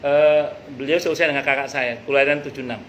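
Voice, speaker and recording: A middle-aged man, an Islamic teacher giving an informal, conversational study talk with jokey anecdotes and some halting repetition. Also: mid-range voice, fairly even intonation. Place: a private home hosting a study gathering. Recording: noisy live recording.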